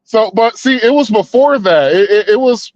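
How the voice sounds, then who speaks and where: Man, 30s, podcast co-host: high, profane, rambling, slightly muffled dry sound. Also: animated, moderate pace, a little mellow. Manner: rambling, profane, stops and restarts a lot. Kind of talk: heated casual debate talk.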